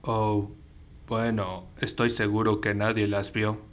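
A man plays a clueless Sith apprentice in a parody fan dub. He speaks slowly in a low monotone, hesitant and nonchalant about his evil. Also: highs cut off. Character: clueless deadpan male Sith apprentice, blandly murderous.

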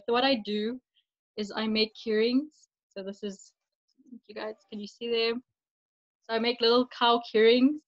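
A young woman sharing her handmade craft on a video call, chatty and informal. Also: mid-range voice. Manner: chatty, light laughs, informal. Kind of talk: casual video call chat. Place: indoor bedroom with posters. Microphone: laptop webcam on video call. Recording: dull lo-fi video call audio.

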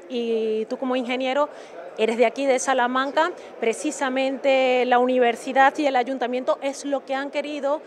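High-voiced woman reporting live. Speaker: TV field reporter. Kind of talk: live on-location interview. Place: conference venue lobby. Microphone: handheld mic. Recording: crowd chatter in a busy lobby.

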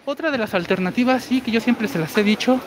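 A man in his forties with a high, girlish-sounding voice, chatting through a live store walkthrough and reading viewer comments. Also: fast talker, over loud music. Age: 40s. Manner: chatty, explains deals while walking. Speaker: shopping deals streamer. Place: large indoor supermarket. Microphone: phone held while walking. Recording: noisy store ambience.

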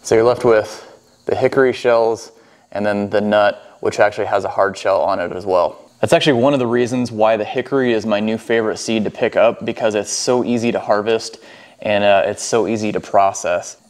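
A man's voice: lowish voice, steady tone, fairly quick. An American man in his thirties talking casually and explaining things as a vlogger, on a clip-on mic. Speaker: foraging vlogger. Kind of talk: casual explanatory vlog talk. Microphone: clip-on wireless lapel mic.

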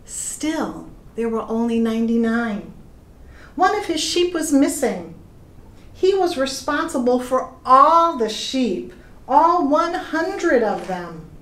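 A middle-aged woman reading a children's story aloud in a deliberate, expressive way, addressing young listeners. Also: high-pitched. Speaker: pastor reading stories to children. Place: indoor room with plain walls. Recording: echoey room.

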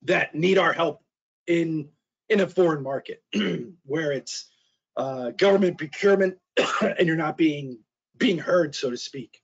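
Man, middle-aged, hoarse, halting presenter, slightly high voice, muffled call audio. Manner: halting, many fillers and restarts, clears throat. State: hoarse, losing his voice. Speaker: trade specialist presenter. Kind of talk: webinar presentation. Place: small office. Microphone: video call. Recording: dull lo-fi video call audio.

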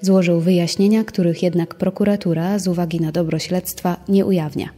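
A woman's voice: lowish voice, steady tone, a little fast, dark tone, faint music behind. A woman reading statements aloud in a reverberant recording. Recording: long reverberant tail on voice.

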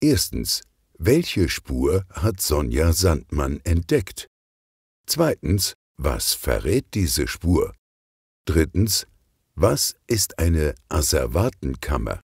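A man narrating a children's detective story in an expressive, clearly articulated way. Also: very deep voice, slow pace, no room sound, studio quality. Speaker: audiobook narrator.

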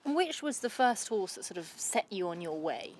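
A woman's voice: medium pitch, very animated, moderate pace, a little hiss or hum. A woman interviewing a rider outdoors, asking brief, direct questions. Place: outdoor terrace by a house.

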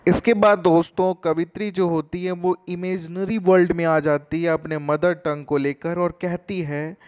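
A man, teaching a poem line by line as a voice-over. He reads each verse line very slowly, then explains it in a mix of Hindi and English. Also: sits high, little pitch movement, darkish tone, clean tone. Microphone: voice-over over text slides.

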